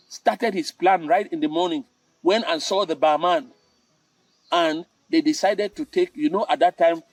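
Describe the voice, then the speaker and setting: An older man with a Cameroonian accent tells stories and draws morals from them. His voice sits a little high, and he speaks theatrically, leaning on repetition and dramatic pauses. He is recorded at some distance from the microphone, with music in the recording. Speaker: storytelling host. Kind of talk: spoken storytelling with moral advice. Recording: roomy, distant mic with music.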